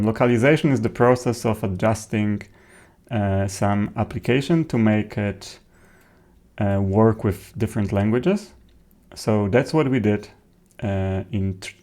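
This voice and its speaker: A man, a native Polish speaker with a Polish accent, presents an informal software tutorial in a halting, hesitant way. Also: on the low side. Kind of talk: informal software demo narration.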